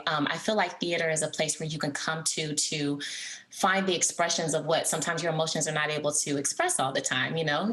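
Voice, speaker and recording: A young woman from Texas, a Broadway actress, talking earnestly and brightly in an interview, laughing often. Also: low-pitched, poor audio. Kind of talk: casual interview chat.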